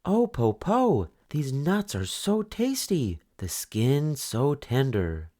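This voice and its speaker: Clever little storybook girl, done by a man, high, lilting, sweetly coaxing, sing-song swoops. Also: very animated, slow pace, darkish tone. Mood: persuasive. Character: clever eldest young girl, sweetly cunning.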